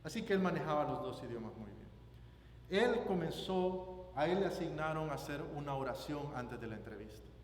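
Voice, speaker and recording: A man's voice: on the high side, expressive, moderate pace. Guatemalan man preaching in an echoing hall. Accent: Guatemalan Spanish. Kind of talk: sermon with scripture reading and personal anecdote. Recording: roomy echoing hall, background noise.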